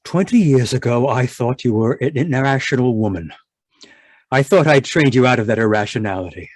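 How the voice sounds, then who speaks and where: A man's voice: mid-range voice, animated, fairly quick, no room sound, studio quality, lightly acted. A man playing an irritable, domineering middle-aged scientist husband in an old-time radio drama, contemptuous and blustering. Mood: scornful. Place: indoors, live video call performance.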